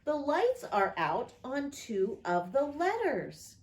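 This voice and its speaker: A woman's voice: high voice, huge pitch swings, slow pace, echoey room, voice pushed up, full character voice. A woman voicing an earnest, easily discouraged little girl from a children's picture book. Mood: excited.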